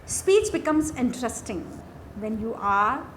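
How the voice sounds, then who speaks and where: Female lecturer, Indian accent, fairly high voice, very unhurried lesson narration in short phrases. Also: expressive, fairly bright tone. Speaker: English lecturer. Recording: faint background noise.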